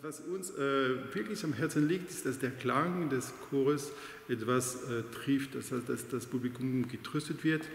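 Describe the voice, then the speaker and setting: A man's voice: medium pitch, a little hiss or hum. A middle-aged man from France speaking with a French accent, a choir director giving hesitant interview answers with many fillers. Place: large church with organ, indoors. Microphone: unseen mic, framed chest-up. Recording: echoey church with long reverb.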